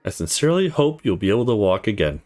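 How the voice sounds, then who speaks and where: A man's voice: lowish voice, sing-song, fast talker, darkish tone. Man reading as introspective young male lead, tender yet guarded, very varied intonation, visual novel, light music behind. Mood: reflective, tender. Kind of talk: visual novel narration read aloud.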